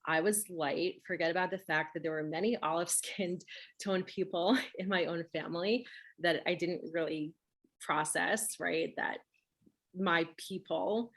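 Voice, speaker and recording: A woman's voice: on the low side, steady tone, measured pace. A woman who grew up speaking Russian, hosting a conversational interview and talking emphatically, often checking with "right?". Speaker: host.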